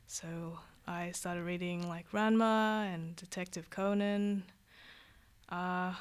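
A woman's voice: lowish voice, steady tone, slow pace, clear, resonant tone. A woman from Vietnam, a guest illustrator, chatting casually in an interview. She rambles and corrects herself, with many "like" and "um".

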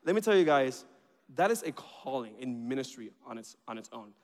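A man in his thirties from Venezuela, whose first language is Spanish, preaching in a chatty, joking way with bursts of excitement. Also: medium pitch, a little fast. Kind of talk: informal sermon introduction. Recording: reverberant hall.

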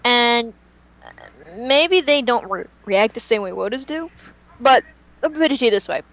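A woman's voice: fairly high pitch, expressive, moderate pace, a bit bright. A woman chatting casually as a podcast panelist, explaining her points with emphasis, on dull lo-fi audio. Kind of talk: casual fan chat.

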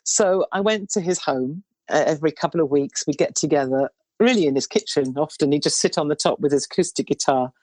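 A woman in her sixties with an unusually low, baritone voice, talking in a loose, meandering way as she recalls her career. The sound is dry and close, with no room around it. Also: fairly quick, few pauses, no echo. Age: 60s. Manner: rambling, warm storytelling with restarts. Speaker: singer. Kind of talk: relaxed interview chat.

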